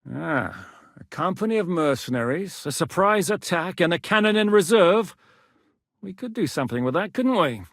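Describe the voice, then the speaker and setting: A man's voice: high-pitched, a bit bright, no echo, clean studio sound. A man voicing a shrewd, commanding medieval Czech mercenary lord in a video game, speaking with blunt authority. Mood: scheming, measured. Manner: authoritative, blunt, swings from measured to barking. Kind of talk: dramatic video game dialogue.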